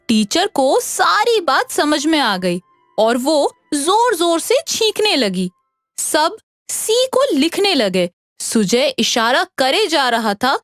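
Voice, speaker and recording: A woman reading scripted narration for an animated children's story. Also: high voice, no echo, studio quality.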